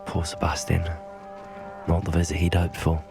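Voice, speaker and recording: An actor playing a polite, curious young student wizard in a video game, courteous and measured. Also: male voice, low-pitched, monotone, vocal fry, over music. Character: polite, curious teenage new student wizard, quietly hopeful.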